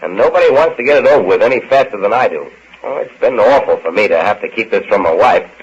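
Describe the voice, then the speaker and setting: Eager small-time informant in old radio play, casual, bit husky, dull sound. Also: male voice, lowish voice, expressive, quick pace. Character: eager married male informant, cocky but nervous. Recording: muffled old radio recording.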